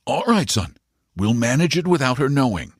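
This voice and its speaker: A man's voice: medium pitch, sing-song, normal speed, breathy edge, no echo, voice pushed up. A man voicing a wry, kindly middle-aged uncle in a storybook reading, with a dry, amused manner. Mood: reassuring.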